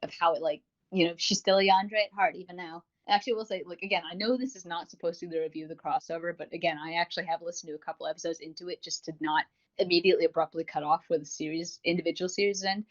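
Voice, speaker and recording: A woman's voice: fairly low pitch, fairly even intonation, racing. A woman co-hosting a podcast in a casual review chat, rambling along with enthusiasm. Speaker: podcast co-host. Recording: dull lo-fi audio.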